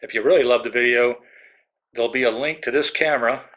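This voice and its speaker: A man's voice: medium pitch, fast talker, a bit bright. A middle-aged man hosting a casual product review, chatty and rambling with jokey asides. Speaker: channel host.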